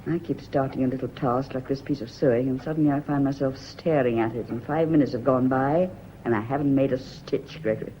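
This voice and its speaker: An actress playing an anxious, brooding secretary and secret lover in a British radio drama, nervy and intense, with a British accent. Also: female voice, rumbling low, brisk, muffled recording, a little hiss or hum. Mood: haunted, anxious. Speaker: actor in a radio play.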